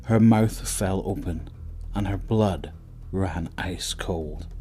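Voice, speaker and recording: Low-voiced man narrating horror drama. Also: warm tone. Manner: measured, suspenseful. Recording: voice over prominent music.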